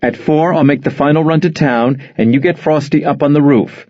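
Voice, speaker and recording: Man putting on a bossy high-voiced wife. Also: dry recording, close to an everyday voice. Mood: planning. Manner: brisk, commanding, bright phone politeness. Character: bossy harried middle-aged wife planning a party. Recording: dull, dry studio audio.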